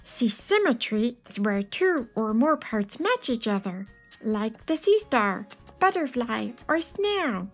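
A woman's voice: a little high, very animated, slow pace, soft background music, heavily acted. A woman voicing a friendly, enthusiastic space alien who patiently explains math in a picture book read-aloud. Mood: upbeat, explaining.

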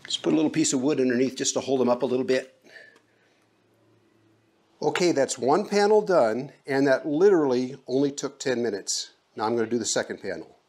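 A man's voice: medium pitch, animated, brisk, a little mellow. A middle-aged man talks through a hands-on DIY project in plain, folksy terms. He sounds like he is working in an open garage, picked up by the camera's own mic. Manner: folksy, plain-spoken instructions. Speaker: DIY vlogger. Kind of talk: casual how-to narration. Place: open garage, then outdoors on a roof.

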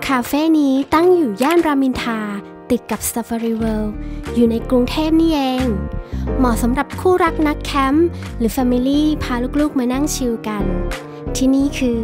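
A woman's voice: high voice, normal speed. A woman hosting a travel vlog, giving a cheerful, friendly and polite scripted voice-over. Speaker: vlog host reviewing a cafe. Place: voice-over, not recorded on location. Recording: voice-over with clear background music. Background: background music.

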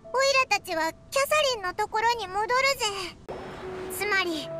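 A woman's voice: very high-pitched, expressive, moderate pace. A woman voicing a tiny, earnest, childlike fairy companion in a Japanese anime game, with blunt, tomboyish speech. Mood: worried. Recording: music behind the voice.

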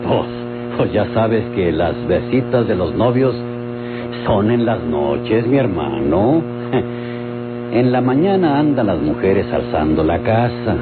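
Slow, swooping cocky Mexican suitor. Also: male voice, lowish voice, very noisy. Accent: rural Mexican. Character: cocky, smitten rural suitor, headstrong brother. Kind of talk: Mexican golden-age radio drama acting. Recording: tinny old radio recording with loud music.

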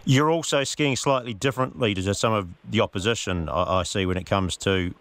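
A man's voice: on the low side, a little fast, fairly bright tone, no room sound. A man interviewing as a radio sports editor, asking questions with small stumbles and restarts. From New Zealand.

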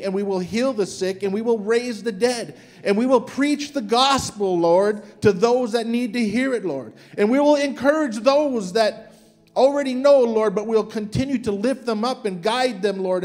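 A man's voice: high-pitched, darkish tone. A man leading a fervent spoken prayer aloud in church, repeating "Lord" between phrases.

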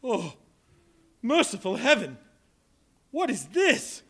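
An actor on stage playing old Scrooge, anguished and pleading with a spirit, in a large hall. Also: male voice, very high-pitched, huge pitch swings, takes it slowly, slightly rough, full character voice. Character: Scrooge, old miser now fearful and pleading. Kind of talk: live stage play reading. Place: large hall, live audience. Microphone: far camera.

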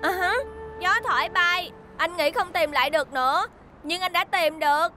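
A youthful, earnest rescue helicopter robot played by a woman with a very high voice and a southern Vietnamese accent. It is a friendly cartoon character, heard over music. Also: moderate pace. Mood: friendly, hesitant. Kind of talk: children's cartoon dubbing.

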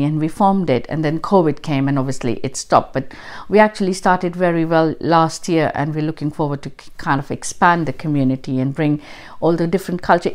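A woman's voice: lowish voice, darkish tone. A woman in her thirties from Mumbai with an Indian accent, answering interview questions in a chatty way with many fillers, recorded in a living room. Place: living room, seated on sofa by window.

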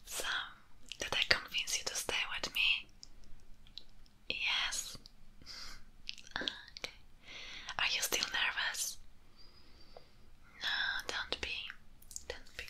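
Young woman, breathy whisper, very slow pace, soothing ASMR roleplay, close-miked. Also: pushed and bright. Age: young adult. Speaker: ASMR performer. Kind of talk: soft-spoken ASMR comfort roleplay. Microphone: close-up microphone, speaking into it ear to ear.

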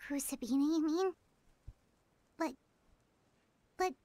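An actress voicing a tiny childlike fairy companion in a video game, curious and earnest, with a squeaky voice. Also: female voice, slow, mellow. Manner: inquisitive, earnest. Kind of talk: video game character dialogue.

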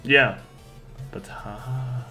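A man's voice: mid-range voice, steady tone. A young man streaming game development, thinking aloud in a casual chat, a little music behind. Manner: slow, thinking aloud, laughs often. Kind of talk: casual chat while coding.

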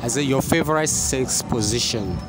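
A man's voice: medium pitch, normal speed. A man in his thirties with a Nigerian accent doing a casual, teasing street interview, often repeating words back. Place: outdoors by a street wall and parked car. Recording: outdoor street recording with background music. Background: music.

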